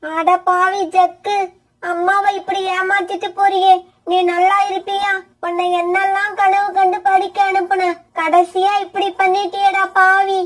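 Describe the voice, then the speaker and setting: A woman voicing a plaintive, lamenting widowed mother crow in an animated bird fable. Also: very high-pitched, unhurried. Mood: bitter lament. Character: tearful old widowed mother crow, betrayed and remorseful.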